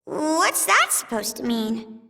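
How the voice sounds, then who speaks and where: A woman's voice: on the high side, sing-song, takes it slowly, gravelly, sharp tone, distant mic, full character voice. An actress playing a curious, headstrong girl of about ten in a video game, blunt and full of questions. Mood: inquisitive. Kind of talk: video game cutscene voice acting.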